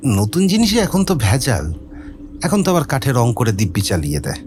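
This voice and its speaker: Man playing a stammering, talkative gentleman. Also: mid-range voice, huge pitch swings, brisk, noisy background. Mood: chatty, explaining. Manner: stammering, hesitant, rambling. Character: nervous, talkative middle-class Bengali gentleman with a mystery. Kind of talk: audio drama dialogue.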